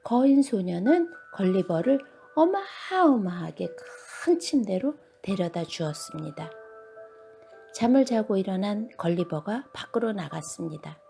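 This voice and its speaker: Animated, creaky-edged woman telling bedtime stories. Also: medium pitch, unhurried, soft background music. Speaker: storyteller. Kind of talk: expressive children's bedtime story narration.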